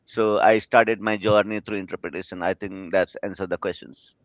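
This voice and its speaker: A man from Bhutan, an interpreter answering questions in a measured, earnest, explanatory way. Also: deep voice, fairly even intonation, no echo. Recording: dull, lo-fi call audio.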